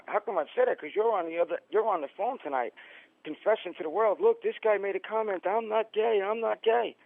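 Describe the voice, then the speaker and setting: A man's voice: high voice, brisk, vocal fry, no echo. A man calling a radio show over a telephone line, talking in a blunt, challenging, casual way. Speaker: radio phone-in caller. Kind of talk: casual argumentative phone-in chat. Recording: dull, narrow telephone line.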